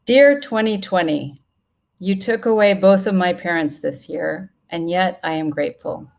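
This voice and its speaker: A middle-aged woman reads a reflective personal letter aloud in a solemn, tender, measured way. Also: fairly low pitch, vocal fry, a little mellow. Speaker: writer reading own letter aloud.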